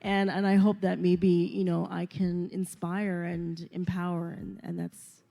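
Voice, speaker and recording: A woman hosting a talk show, affirming her guest warmly and repeating words as she speaks. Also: fairly low pitch, little pitch movement, a little slow, creaky. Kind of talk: interview conversation.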